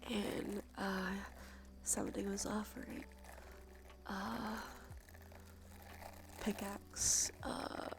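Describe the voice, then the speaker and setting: Soft half-whispering creaky woman streamer. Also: mid-range voice, level tone, very slow, pauses often. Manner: laid-back, rambling, informal with swearing. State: congested nose. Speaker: livestream host. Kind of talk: cozy ASMR stream, casual chat to viewers. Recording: clear background noise, ambient sound.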